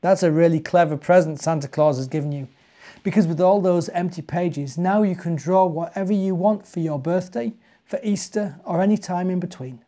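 A British man voicing a gentle, reassuring mother in a children's storybook. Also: sits high, normal speed, lightly acted.

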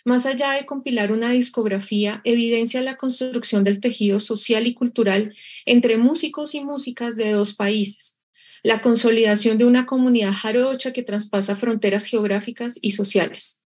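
A woman's voice: on the high side, fairly even intonation, normal speed, breathy edge. A young woman reading a prepared, formal book review aloud over a video call. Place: room indoors. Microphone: video call webcam.

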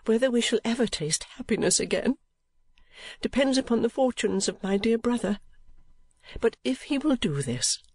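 A woman voicing an earnest, timid young woman who speaks softly and haltingly. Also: on the high side, expressive, husky, dry recording, voice pushed up.

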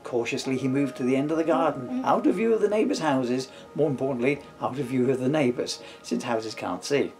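A man's voice: medium pitch, a little fast, hardly any pauses, a little mellow, faint music behind. A man in his fifties reading a comic storybook aloud in a playful way, with wry asides. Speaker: author reading from own comic book. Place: living room sofa at home. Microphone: camera a short distance away.

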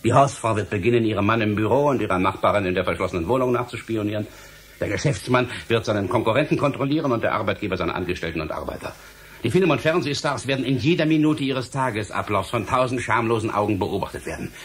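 A stern, exasperated man of government in a radio drama. His low voice lectures with grave authority and theatrical emphasis, over faint background noise. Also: animated, fast talker. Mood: indignant, warning. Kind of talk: German radio play acting.

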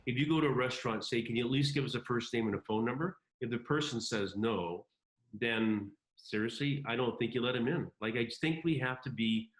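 A man's voice: medium pitch, brisk. Man speaking frankly over a roomy video call. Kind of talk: video call interview clip.

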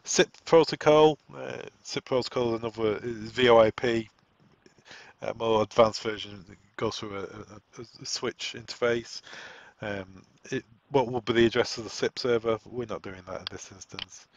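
A man giving an informal software setup walk-through, explaining conversationally and thinking aloud as he goes. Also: mid-range voice, steady tone, moderate pace, dry recording. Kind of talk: informal software tutorial narration. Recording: dull, lo-fi, dry close recording.

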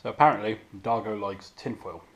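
A man's voice: on the low side, brisk, a bit bright. A man in his thirties chatting casually as a vlogger. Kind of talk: casual vlog chat. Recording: noisy camera recording.

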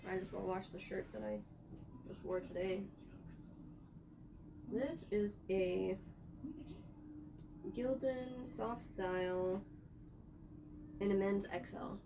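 A young woman rambles casually while sorting laundry, her voice gravelly with fry. She is recorded by a camera mic across a small bedroom, with a clear hiss of noise underneath. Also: medium pitch, expressive, takes it slowly, pauses often. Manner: rambling, chatty, talking to herself while doing laundry. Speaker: vlogger. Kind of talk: casual vlog narration. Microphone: camera mic at a distance.

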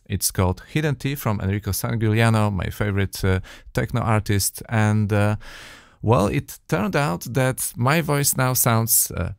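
Low-voiced man reviewing audio gear. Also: vocal fry, smooth, no room sound, studio quality. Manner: hesitant, many uh fillers, slightly non-native phrasing. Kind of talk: casual tech review talk. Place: small home studio, indoors. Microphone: studio condenser mic on arm.

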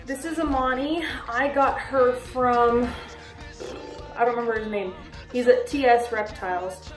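A young woman in her twenties talks casually in a vlog, bubbly and gushing, now and then squealing in a high baby-talk voice. She is recorded in a small room with a roomy echo, sitting a little back from the mic. Faint music plays behind her. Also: steady tone, a little slow, far from the mic. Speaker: reptile keeper vlogger. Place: small bedroom reptile room indoors. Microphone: camera a little way off.

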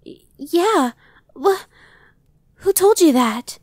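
A woman's voice: very high voice, lively intonation, drawn-out words, darkish tone, no echo, full character voice. Woman voicing a casual demon scout, dry close sound. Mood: startled. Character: young female demon scout Evanescence, casual, dry-witted, inwardly brooding. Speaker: voice actor. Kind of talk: ASMR roleplay audio drama.

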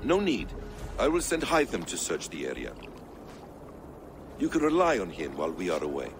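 A man playing a composed, shrewd mentor of a secret brotherhood in a video game, calm and instructive. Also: low-pitched, normal speed, noisy background, heavily acted. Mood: calm, assured. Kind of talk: dramatic video game acting.

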